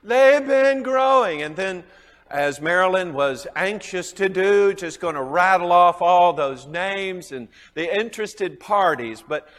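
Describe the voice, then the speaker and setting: A man's voice: high-pitched, lively intonation, measured pace, fairly bright tone, in a large hall. A man with a Southern US accent teaching a Bible class, chuckling often and quizzing his students.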